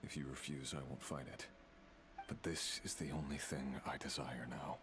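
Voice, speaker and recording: An actor playing a brooding, remorseful fallen villain in a video game, speaking slowly and solemnly with halting phrases. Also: male voice, very deep voice, fairly even intonation, a bit bright. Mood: pleading. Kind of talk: dramatic video game dialogue. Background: music.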